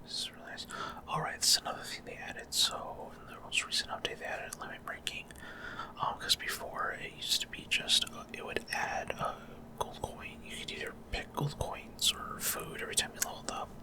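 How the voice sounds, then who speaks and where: Whispering man narrating gameplay. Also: a little fast. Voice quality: breathy unvoiced whisper. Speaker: gaming ASMR creator. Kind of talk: whispered ASMR gameplay commentary.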